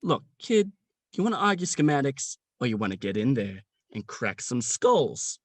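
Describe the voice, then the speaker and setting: A man acts out a jaded police sergeant in a comic audio drama. His slangy, rambling talk swoops up and down in pitch, at a middling register. The sound is close and dry. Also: very animated, moderate pace, dry recording. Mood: rambling, nonchalant. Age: young adult. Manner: crude, rambling, hesitant, slangy. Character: gruff, jaded veteran male police sergeant near retirement. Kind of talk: contemporary comic radio play acting.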